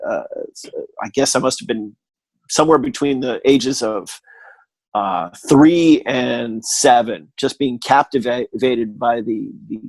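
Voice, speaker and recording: An American man in middle age, talking casually as an interview guest in a halting, meandering way with dry humor. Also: medium pitch, no echo, low-quality recording. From Connecticut, United States. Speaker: podcast guest, audio drama producer.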